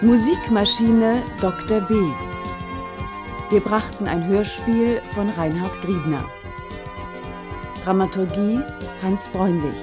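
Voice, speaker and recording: A woman's voice: medium pitch, slow pace, long pauses. A woman radio announcer reading scripted closing credits in a formal announcement style. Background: music.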